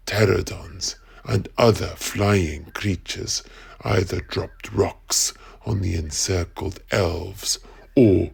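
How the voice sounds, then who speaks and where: A man playing an explorer in an audio drama, grimly recounting a battle in a husky, gravelly voice with deliberate, drawn-out phrasing. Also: sits low, darkish tone, exaggerated voice. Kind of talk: dramatic audio play narration.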